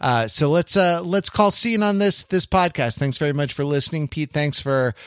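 A man co-hosting a podcast in casual conversation, speaking haltingly and often repeating his phrases as he goes. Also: on the high side, a bit bright, clean tone, no echo, clean studio sound. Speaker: podcast co-host.

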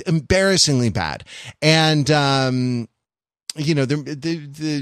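A man's voice: medium pitch, no room sound. Digressive man chatting on a dry mic. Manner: digressive, animated storytelling with wry asides. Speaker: podcast co-host. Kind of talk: casual podcast chat.

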